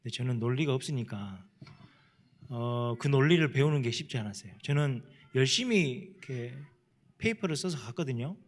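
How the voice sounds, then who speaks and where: A middle-aged Korean missionary with a Jeolla dialect, telling stories plainly in a church panel talk with self-deprecating humor. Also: male voice, mid-range voice, animated, normal speed. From South Korea. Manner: plain-spoken, self-deprecating, chuckles often. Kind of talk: panel talk, casual storytelling.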